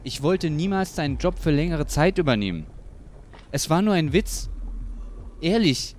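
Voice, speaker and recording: An actor playing a cocky, bullying gangster boss in an audio drama, with a bossy, theatrical delivery. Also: male voice, on the high side, expressive, normal speed, a little mellow, slight background noise, natural voice. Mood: pleading.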